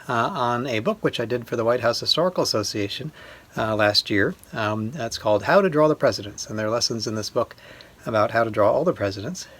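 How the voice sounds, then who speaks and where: Male art instructor for kids, medium pitch, gentle explanatory delivery with frequent hesitations. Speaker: illustrator giving a drawing lesson. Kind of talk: step-by-step instruction for young children.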